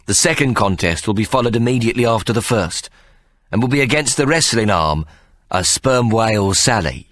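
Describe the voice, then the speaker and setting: A man's voice: fairly low pitch, expressive, brisk, fairly bright tone, no echo, voice pushed up. A man voicing a sly, scheming male showman who announces grandly and wheedles. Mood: grand announcing.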